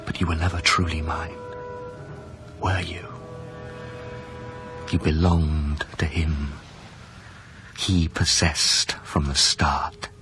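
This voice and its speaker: An actor playing a grieving, wistful lover, narrating a radio drama in a hushed, measured, brooding manner. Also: male voice, very deep voice, little pitch movement, faint music behind, heavily acted. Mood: bitter, wistful. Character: grieving, wistful former lover recounting a haunted past.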